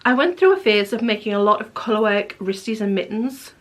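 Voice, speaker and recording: Slightly hoarse woman, chatty podcast host. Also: medium pitch, animated, brisk. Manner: chatty, rambling, drops to a whisper at times. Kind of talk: casual chat. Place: home craft room.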